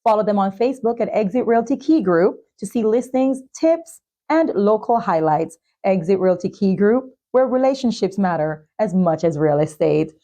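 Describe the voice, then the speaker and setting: A woman speaks as the host of a talk show podcast. Her voice is mid-pitched with a warm, dark tone, and she handles both interviewing and presenting. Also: animated, normal speed, few pauses. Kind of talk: interviewing and presenting sponsor messages.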